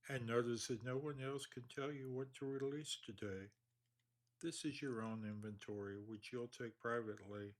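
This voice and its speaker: A man leading a guided meditation, speaking slowly and calmly with long pauses. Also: medium pitch. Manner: slow, calm, measured delivery with long pauses.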